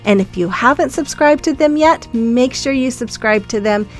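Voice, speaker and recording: A woman host casually narrating her craft project in a relaxed, chatty voice-over with frequent ums. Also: on the high side, moderate pace, faint music behind.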